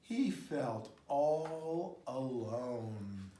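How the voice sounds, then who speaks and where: A man reads a children's story aloud in an expressive way. His voice sits at a middle pitch and he takes his time over the phrases. The room around him echoes noticeably. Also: drawn-out words, slight background noise. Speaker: storybook reader. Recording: roomy, echoing sound.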